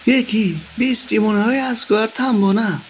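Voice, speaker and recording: A man's voice: sits high, steady tone, a little slow. A man voicing a homesick working father in a cartoon, musing aloud in a rustic Bangladeshi accent. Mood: resigned, musing. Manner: rustic, colloquial. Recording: background noise, ambience.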